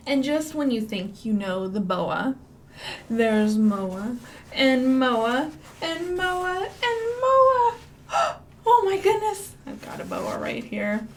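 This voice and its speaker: A woman's voice: fairly high pitch, a little hiss or hum. A woman with a Midwestern American accent, a children's librarian leading storytime with songs and read-alouds in a playful, sing-song way with dramatic whispers.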